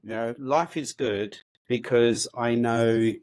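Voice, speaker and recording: A man's voice: medium pitch, steady tone, slow pace, a little mellow, dry recording. A man in his fifties, raised in the UK, talking as a podcast guest in an upbeat, reflective way, with many hesitations and restarts. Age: 50s. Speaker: guest, housing organisation chief executive. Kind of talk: conversational podcast interview.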